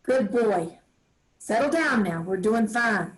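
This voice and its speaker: A woman acts as an old farm grandmother in a staged play reading. Her voice sits fairly high, and she talks in plain country dialect, handing out firm, kindly orders with love underneath. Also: animated, rough recording. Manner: plain rural dialect, brisk kindly commands.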